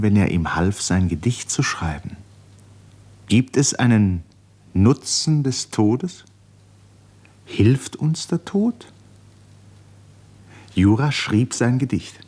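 A man narrates a radio drama in a fairly low voice. His delivery is literary and measured, with dramatic weight and deliberate pauses between phrases. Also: a little mellow.

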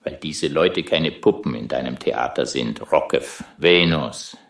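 A man playing Schmidt, a gruff, sardonic space traveler in an audio drama, with a dry delivery. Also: very deep voice, unhurried, fairly bright tone, exaggerated voice. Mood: sardonic, irritated. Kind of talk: scripted audio drama acting. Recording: echoey room.

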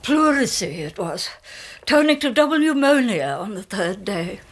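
Husky, chatty widow. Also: female voice, medium pitch, sing-song, normal speed. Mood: chatty reminiscing. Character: chatty, matter-of-fact widow showing her house. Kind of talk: radio drama acting.

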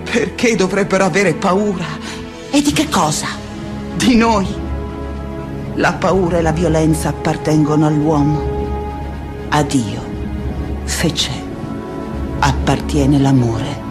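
An actress playing a reflective, passionate woman scholar in a lyrical theatrical monologue, with deliberate dramatic pauses. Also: deep voice. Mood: questioning, troubled. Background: music.

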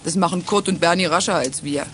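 A woman's voice: fairly low pitch, a little fast, lightly acted. An actress playing an easygoing, friendly young woman driver in a children's radio play, chatting casually. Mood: chatty, offhand. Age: young adult. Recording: noisy audio with vehicle sounds.

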